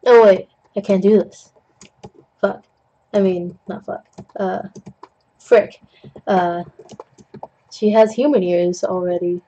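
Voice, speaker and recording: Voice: mid-range voice, fairly even intonation, slow pace, pauses often, dark tone, highs cut off. A young adult host rambling jokily with puns while painting at a desk, coughing now and then, on a webcam mic at home. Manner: chatty, self-deprecating, jokey with puns. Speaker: digital art tutorial host.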